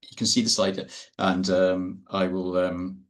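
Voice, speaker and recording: A middle-aged man, an architect, giving a talk over a video call, measured and a little hesitant before reading from a prepared text. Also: low voice, little pitch movement. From Wales.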